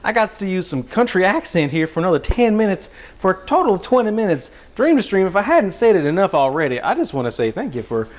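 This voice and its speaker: A man putting on an American Southern country accent as a goofy, excitable countryman, rambling casually to a livestream chat in a folksy drawl. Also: high voice, fast. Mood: grateful, chatty. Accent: put-on American country, Southern. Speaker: live streamer cooking for chat.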